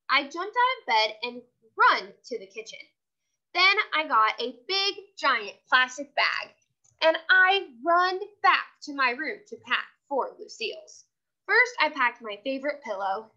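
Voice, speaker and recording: High, bright-voiced woman reading aloud. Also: highs cut off. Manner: expressive, instructional, checking in with listeners. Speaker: teacher reading aloud to a class. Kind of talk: read-aloud of a children's chapter book.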